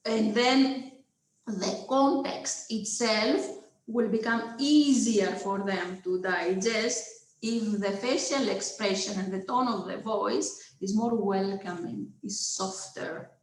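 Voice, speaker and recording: Slow, halting woman with a Greek accent. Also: mid-range voice, reverberant, low-quality recording. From Greece. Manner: hesitant, many filler sounds, checks in with listeners. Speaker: lecturer. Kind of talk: online lecture. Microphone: video call.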